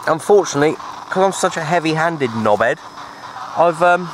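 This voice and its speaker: A man with a British accent chatting casually and informally to viewers in an unhurried, easygoing way, like an outdoor hobby vlogger. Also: animated. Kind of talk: casual outdoor vlog chat. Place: outdoors at a windy makeshift shelter. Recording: noisy outdoor recording with ambient rumble.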